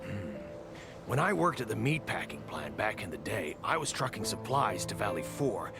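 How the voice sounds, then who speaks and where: A man voice-acting a passionate, principled survivor in a video game, with a gravelly voice over music. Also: lowish voice, very animated, moderate pace. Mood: thoughtful, pleading. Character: passionate, principled male survivor rallying his comrades. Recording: music behind the voice.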